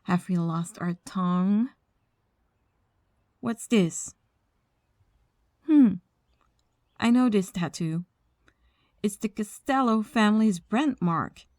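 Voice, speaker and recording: A woman playing a commanding, mocking mafia boss in an ASMR audio drama, speaking deliberately with condescension and quiet menace. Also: mid-range voice, dry recording, studio quality, lightly acted. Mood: mocking, menacing.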